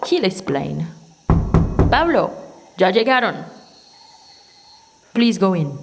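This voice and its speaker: A woman playing a brisk, bossy resistance aide in an audio drama, giving cautions and instructions. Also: medium pitch, big pitch swings, vocal fry, over music, exaggerated voice. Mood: cautioning, brisk.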